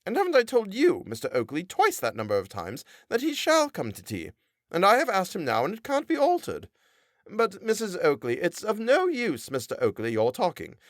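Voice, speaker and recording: A man's voice: wildly swooping pitch, normal speed, no room sound, clean studio sound. A man reading a novel aloud, voicing a nagging, pious middle-aged wife with a nasal twang and a scolding manner. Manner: shrill, scolding, self-righteous. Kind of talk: audiobook character voice.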